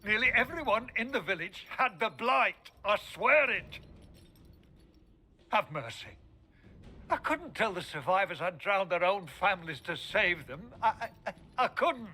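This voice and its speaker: Casting: pleading male mayor, high tense voice, meek, halting, burdened with guilt. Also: sing-song, pushed and bright, husky, full character voice. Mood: anguished, pleading. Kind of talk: scripted video game dialogue.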